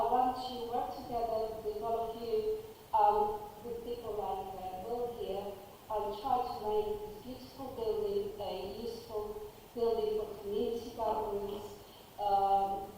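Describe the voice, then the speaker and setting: A woman's voice: mid-range voice, flat delivery, unhurried, dark tone, slight background noise. A woman from Cuba sincerely addressing a planning committee, hesitant and restarting her words. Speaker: applicant speaking at a planning committee. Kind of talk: short public statement. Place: large council chamber. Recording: echoey council chamber, distant mic.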